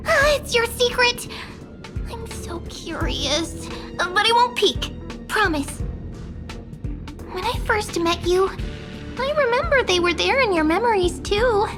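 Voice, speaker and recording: A woman voicing a cute, mysterious young female memory sprite in a video game, playful and soothing, with drawn-out delivery. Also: extremely high pitch, animated, music in the background, exaggerated voice. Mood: curious, teasing.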